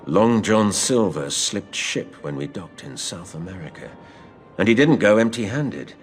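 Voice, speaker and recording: Seafaring storyteller, gravelly, lively intonation, wry, literary, audio drama. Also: male voice, heavily acted. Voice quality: low, gravelly. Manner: measured, literary, expressive. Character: older seafaring man telling his past adventure to a boy, wry and fond.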